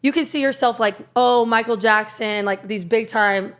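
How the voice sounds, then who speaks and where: A young woman from Iowa with a raspy voice, chatting casually as a podcast guest, full of filler words. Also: fairly high pitch, moderate pace. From Iowa, USA. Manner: rambling, lots of "like" fillers. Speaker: guest, country singer. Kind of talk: casual interview chat.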